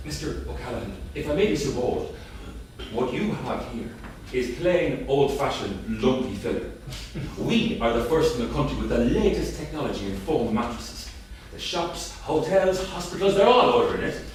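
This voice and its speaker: A male actor plays a persuasive family man who sells for a living. His delivery is lively and insistent, recorded from a distance in a very echoey theatre. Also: mid-range voice, normal speed, natural voice. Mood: eager, persuasive. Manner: smooth-talking, insistent. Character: persuasive, proud Dublin salesman and family man. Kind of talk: staged script reading. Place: theatre, staged reading. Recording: echoey theatre from far off, faint noise.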